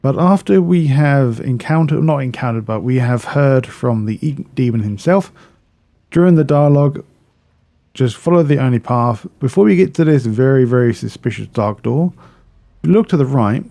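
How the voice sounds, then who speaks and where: A man's voice: medium pitch, animated, normal speed, vocal fry, mellow. A man narrating a video game walkthrough in a casual, instructional way, explaining step by step and often repeating or correcting himself.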